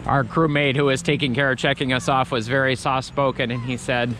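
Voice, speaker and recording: A man's voice: medium pitch, flat delivery, brisk. Rambling man vlogging outdoors. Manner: rambling, chatty, many restarts. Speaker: travel vlogger. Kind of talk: casual walking commentary. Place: outdoor Christmas market in a city.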